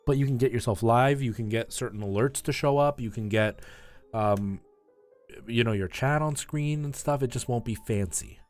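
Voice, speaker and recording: A man's voice: mid-range voice, normal speed, a little mellow, faint music behind. A man chatting casually and informally on a live game stream, rambling in asides to his viewers and giving tips.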